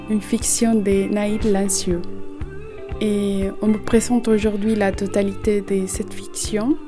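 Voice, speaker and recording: A woman hosting a radio show, presenting programme items in a conversational on-air style. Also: mid-range voice. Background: music behind the voice.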